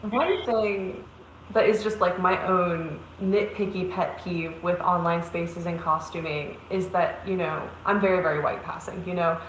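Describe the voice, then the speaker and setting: A woman's voice: on the low side, fairly even intonation, measured pace. A woman speaking on a casual panel discussion, chatty, with frequent "you know" fillers. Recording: echoey room, faint background noise.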